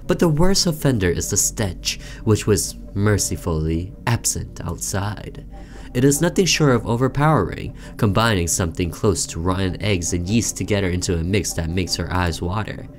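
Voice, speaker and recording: Deep-voiced man narrating a video game story. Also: animated, normal speed, faint music behind. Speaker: gaming video host. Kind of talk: let's play narration, reading story text aloud with casual reactions.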